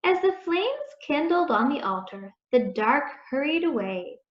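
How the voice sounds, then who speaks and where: A woman reading a children's story aloud in a deliberate, expressive storytelling manner. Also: high-pitched. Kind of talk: read-aloud storytelling, scripted narration. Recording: echoey room, dull muffled sound.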